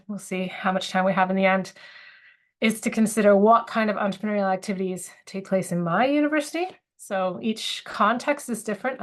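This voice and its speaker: A woman with a cold giving a webinar lecture, a little sniffly, thoughtful, with frequent fillers and restarts. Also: mid-range voice. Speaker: academic presenter. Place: university.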